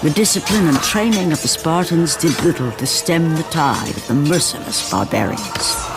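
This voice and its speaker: An actor playing a solemn, portentous storyteller, narrating a mythic video game tale in measured, dramatic phrases. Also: male voice, medium pitch. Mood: grave. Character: solemn omniscient storyteller of a Greek myth. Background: very loud dramatic music.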